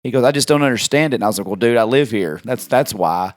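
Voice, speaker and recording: A man's voice: medium pitch, animated, fast talker. A man hosts a casual podcast, speaking in a mid-range voice with plenty of ums as he retells stories. His sound is close and dry, with no room echo. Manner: conversational, acts out quoted exchanges, frequent fillers. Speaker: podcast host.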